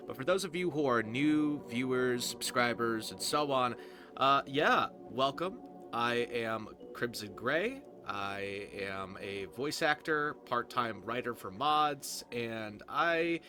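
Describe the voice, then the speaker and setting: A man hosting a gameplay series with casual, rambling, informal commentary. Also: medium pitch. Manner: informal, rambling, occasionally profane. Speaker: roleplay series creator and host, voice actor. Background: music.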